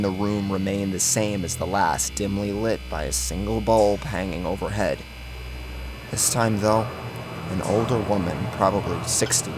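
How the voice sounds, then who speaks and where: A man narrating a first-person horror story in an intense, theatrical, scripted style. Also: sits low. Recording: clear music bed under narration.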